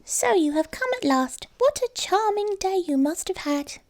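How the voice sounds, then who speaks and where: A woman's voice: extremely high pitch, lively intonation, normal speed, pitched above the performer's own voice. A woman voicing a small, trusting but questioning female mouse in a storybook, prim and wordy in manner. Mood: curious. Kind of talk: storybook character voice.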